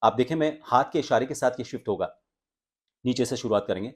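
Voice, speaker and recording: एक आदमी जो आवाज़ सुधारने का पाठ पढ़ाता है, हमिंग की आवाज़ें करके दिखाता है और हिंदी लहजे में बोलता है।. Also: male voice, medium pitch, a little fast, a little mellow. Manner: explanatory, demonstrates humming sounds. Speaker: voice coach and anchor. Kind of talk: voice training lesson.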